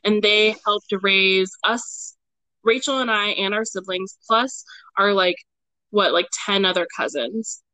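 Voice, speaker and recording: Laughing, animated woman chatting. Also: medium pitch, no echo, low-quality recording. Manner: animated and laughing, quick to joke. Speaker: podcast co-host. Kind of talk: casual chat.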